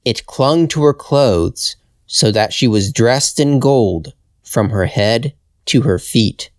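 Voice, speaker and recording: Slow, expressive man telling a fairytale. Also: medium pitch, no room sound, clean studio sound. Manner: deliberate, expressive, carefully phrased. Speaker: storyteller, narrator. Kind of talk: slow scripted fairytale narration for English learners.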